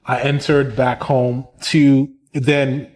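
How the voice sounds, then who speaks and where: A man, telling his story in a casual interview, animated and quick to laugh. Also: medium pitch. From Brooklyn, New York. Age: 30s. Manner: animated, chatty, laughs often. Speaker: musician and community organizer, interviewee.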